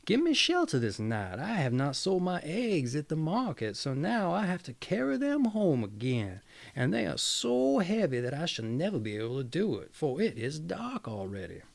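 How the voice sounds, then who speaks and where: Storybook egg seller, voiced by a male reader: worldly, frank, confiding, creaky and animated. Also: fairly high pitch, moderate pace, full character voice. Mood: pleading. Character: shrewd, blunt male egg merchant friend.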